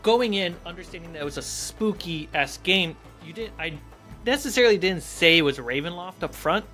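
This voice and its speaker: A woman with a notably low voice hosts a casual interview. She talks in a conversational way, with faint music running behind her. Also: lively intonation, moderate pace, a bit bright. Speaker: host interviewing a player.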